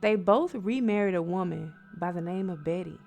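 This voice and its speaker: Gravelly-voiced American woman telling spooky bedtime stories. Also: fairly low pitch, normal speed, a little mellow. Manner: conversational, addresses listeners as friends, rhetorical questions, dramatic emphasis. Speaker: storyteller, host of a bedtime story show. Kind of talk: spooky bedtime storytelling with casual asides. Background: music.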